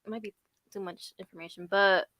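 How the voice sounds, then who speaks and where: A woman in her twenties introducing herself on a dating show, relaxed and chatty. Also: medium pitch, fairly quick, dry recording. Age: 20s. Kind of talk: casual self-introduction.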